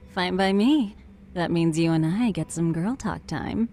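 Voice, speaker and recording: A woman voicing a playful, flattering, worldly barroom confidante in a video game English dub, coquettish and teasing. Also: on the low side, takes it slowly, a little hiss or hum.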